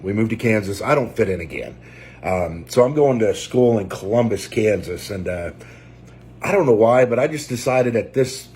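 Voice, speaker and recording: Man, 40s, Maine accent, low voice; unscripted emotional testimony, hesitant delivery. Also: warm tone. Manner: halting, many fillers and restarts; emotional, close to tears. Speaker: trucker and preacher.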